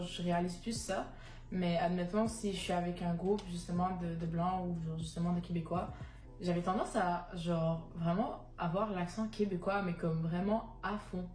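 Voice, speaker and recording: A young woman from Montreal speaks reflectively and a little hesitantly, often filling pauses with "genre". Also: fairly low pitch, fairly even intonation. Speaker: panel guest. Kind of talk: casual discussion. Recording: echoey room, soft music.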